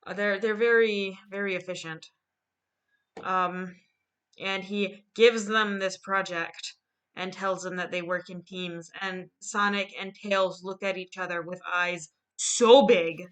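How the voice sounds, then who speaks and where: A woman co-hosting a casual chat, retelling a story in a halting, amused way. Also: mid-range voice, steady tone, fairly bright tone, clean tone. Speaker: co-host recapping a drawn story.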